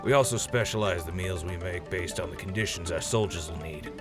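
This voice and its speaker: A man plays a proud male army cook in an audio drama interview. His voice is deep, his delivery earnest and matter-of-fact, over a music bed. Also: a little fast, close to an everyday voice. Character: proud, plain-spoken army cook sergeant.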